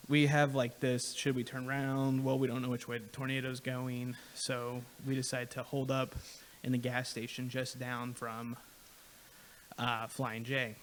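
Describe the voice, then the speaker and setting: A man's voice: medium pitch, unhurried. Young male co-host, easygoing storyteller, slight hiss on the recording. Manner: relaxed, tells story with lots of "right?". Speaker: podcast co-host. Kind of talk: casual storytelling.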